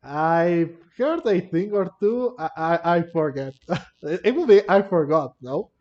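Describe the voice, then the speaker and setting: A man giving casual gameplay commentary, halting and often repeating or rephrasing himself. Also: a little high, expressive, darkish tone. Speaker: gamer narrating a playthrough. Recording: muffled lo-fi mic, game music behind. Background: video game music.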